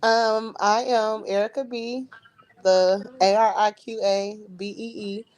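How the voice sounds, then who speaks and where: A woman's voice: mid-range voice, fairly even intonation, slow, a little mellow. A woman from Dayton, Ohio, a cast member, answering in a playful, teasing way.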